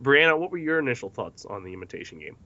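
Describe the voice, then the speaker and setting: A man's voice: medium pitch, very animated, quick pace, bright, forceful tone, no echo, lo-fi sound. A man hosting a casual film discussion, partly reading from notes in a jokey, self-mocking way. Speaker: podcast host.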